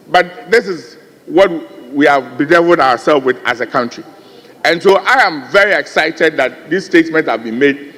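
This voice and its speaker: An earnest Ghanaian man speaks on the floor of a large parliamentary chamber. His voice sits a little high, and he builds emphasis as he goes. A long reverb from the hall trails behind his words. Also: fairly bright tone. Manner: earnest, rising emphasis, repeated addresses to the chair. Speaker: member of parliament.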